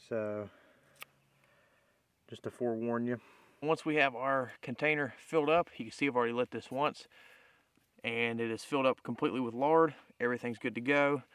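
Bright-voiced man giving a casual tutorial. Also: medium pitch, smooth, no echo. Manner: plain-spoken, informal, explanatory. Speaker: bushcraft demonstrator, host. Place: outdoors in woodland.

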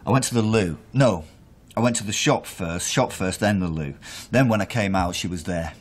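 A man's voice: deep voice, moderate pace. An actor playing an aggrieved man recounting events under questioning in a naturalistic radio drama, emphatic and asking himself questions. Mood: matter-of-fact. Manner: emphatic, self-questioning storytelling.

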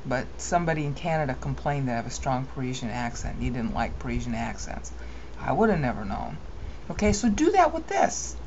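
A woman giving a rambling, opinionated screen-recorded software tutorial, often correcting herself mid-sentence. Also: extremely low pitch, very animated, brisk, lo-fi sound, slight background noise.

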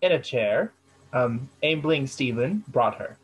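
A woman's voice: very deep voice, expressive, unhurried, husky, heavily acted, rough recording. A woman playing an eager, deferential servant in a theatrical Restoration comedy over a video call.

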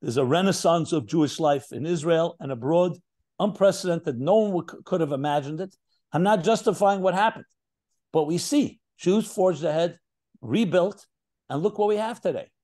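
An older American man talks as a rabbi over a video call from a home study. His voice is mellow and rather high for a man. He speaks earnestly in short searching phrases, often restarting a thought. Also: normal speed. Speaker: rabbi leading an online session. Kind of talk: reflective spiritual talk.

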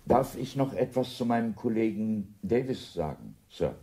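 An actor playing a reserved middle-aged intelligence officer in a German radio drama, restrained, courteous and guarded. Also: male voice, lowish voice, sing-song, moderate pace, dark tone, breathy edge, full character voice. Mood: uneasy, polite.

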